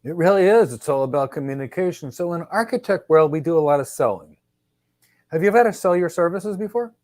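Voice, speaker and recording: A man's voice: fairly high pitch, expressive, fast, a little mellow, no room sound, studio quality. A man conducting a mock job interview, asking questions in an upbeat, encouraging way.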